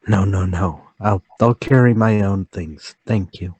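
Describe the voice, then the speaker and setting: A man performing as a haunted, timid man pours out a confession in radio-drama style. His low voice is halting and intense, pleading to be heard, and it sits dry with little room around it. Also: dark tone, slightly rough, no room sound, highs cut off. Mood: frightened, agitated. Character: timid, troubled lonely man confessing to murder, trembling. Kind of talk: dramatic radio-play table read.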